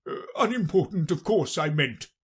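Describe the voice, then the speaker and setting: A narrator voicing a pompous, muddle-headed old king, loud and emphatic, in a storybook reading. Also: mid-range voice, expressive, normal speed, husky, voice pushed up. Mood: muddled, self-important. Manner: loud, emphatic, self-important.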